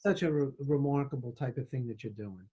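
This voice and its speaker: A middle-aged man hosting an interview over a video call, asking questions in a hesitant, halting way. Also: medium pitch, warm tone, slightly rough, muffled recording. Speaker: podcast host, surgeon.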